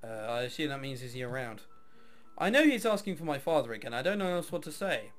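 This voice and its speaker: A man's voice: medium pitch, big pitch swings, fast, fairly bright tone, soft background music. A man reading a visual novel aloud, mixing dramatic narration with casual, offhand commentary. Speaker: video game let's player.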